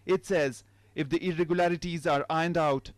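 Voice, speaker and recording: A man with an Indian accent narrates a scripted news report. His pitch is a bit high for a man, and the sound is very dry. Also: steady tone, brisk, no room sound.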